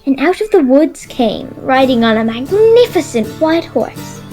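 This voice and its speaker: High-voiced brave Irish girl adventurer. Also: big pitch swings, unhurried, warm tone, soft background music. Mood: earnest, happy. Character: brave, determined young girl adventurer retelling her journey. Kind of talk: children's audio drama acting and narration.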